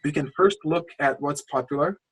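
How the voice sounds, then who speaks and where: A man's voice: on the high side, moderate pace, husky, rough recording. A man from Lithuania, not a native English speaker, coaching over a video call in a rambling, passionate way with many restarts. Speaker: channel coach. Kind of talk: casual screen-share coaching call.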